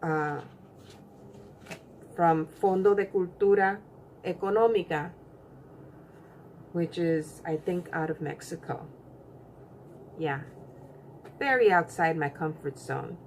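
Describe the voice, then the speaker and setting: A woman hosting a book channel, chatting casually with many pauses and fillers. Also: lowish voice, a little mellow, slight background noise, low-quality recording. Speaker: BookTube channel host. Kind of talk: casual book tag vlog.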